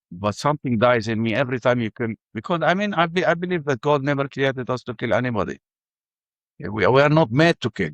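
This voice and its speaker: An older man with an Arabic accent telling his life story in halting English full of restarts. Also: mid-range voice, no echo. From Ramallah. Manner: halting, many restarts and fillers. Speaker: interview guest. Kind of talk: personal testimony.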